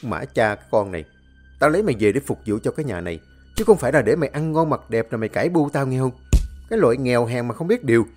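A man's voice: medium pitch, lively intonation, faint music behind, close to an everyday voice. A man voicing a violent young husband who rattles off harsh insults in an audio story. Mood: raging. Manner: fast, harsh shouting. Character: brutal young husband, drowsy then raging.

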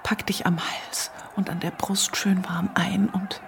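Gefasste, leise Ehefrau. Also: female voice, mid-range voice, measured pace, gravelly, whispered. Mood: tender, hushed. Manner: calm, often hushed. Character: composed, resolute, tender wife.

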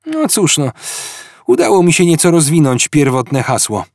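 A man's voice: a little high, very animated, moderate pace, a little mellow, dry recording, studio quality, voice pushed up. A man reading an audiobook, voicing an offhand, evasive researcher of the galaxy who hedges with fillers. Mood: helpful. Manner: hedging, sheepish, with fillers.

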